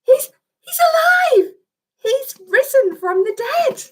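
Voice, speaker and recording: A woman voicing an excitable, eager little boy angel in a storybook, with urgent exclamations. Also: very high-pitched, slow, shouting, pitched above the performer's own voice, full character voice. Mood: amazed, joyful. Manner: breathless exclamations and urgent questions.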